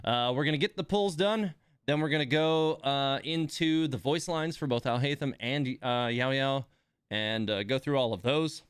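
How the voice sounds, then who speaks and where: A man's voice: mid-range voice, moderate pace, smooth, no room sound. A man hosts a livestream in an informal, conversational style. His voice is clean and resonant, in a dry recording with no room sound. He rambles along casually as he addresses his viewers. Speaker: game livestream host.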